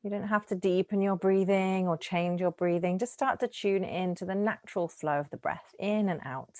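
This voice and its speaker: Low-pitched woman guiding relaxation, close and dry. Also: dry recording. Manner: gentle, soothing, unhurried with lingering pauses. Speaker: yoga nidra teacher. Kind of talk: guided relaxation meditation.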